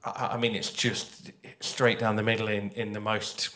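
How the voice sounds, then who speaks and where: A man's voice: fairly low pitch, flat delivery, normal speed, bright, forceful tone. Man chatting informally on a panel. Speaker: audio drama writer on a panel. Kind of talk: informal panel talk to an audience.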